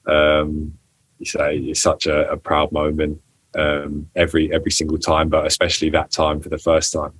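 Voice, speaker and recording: A man's voice: very deep voice, completely flat delivery, normal speed, breathy edge. A young man answering interview questions casually, with many restarts and ums. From England. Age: young adult. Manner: hesitant, many restarts and ums, laughs often. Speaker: footballer guest.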